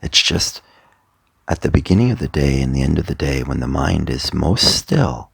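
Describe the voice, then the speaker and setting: A man with an extremely low, creaky voice leads a guided meditation as a coach. He speaks slowly and deliberately, leaving long pauses between short phrases. The gravelly, husky sound is captured close and dry. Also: lively intonation, a bit bright, dry recording. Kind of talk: guided meditation, soft motivational talk.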